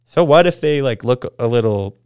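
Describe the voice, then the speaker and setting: A man's voice: mid-range voice, expressive, normal speed, darkish tone, clean tone, no room sound, clean studio sound. A man with a Canadian accent chatting casually as a podcast guest, explaining things enthusiastically in informal surfer slang. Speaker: podcast guest, pro snowboarder.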